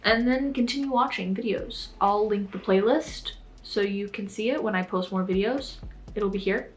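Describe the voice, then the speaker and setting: A woman's voice: medium pitch, moderate pace. A young woman vlogging casually to camera, chatty and full of fillers, her voice strained and sore. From Florida, United States. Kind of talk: casual vlog talk to camera.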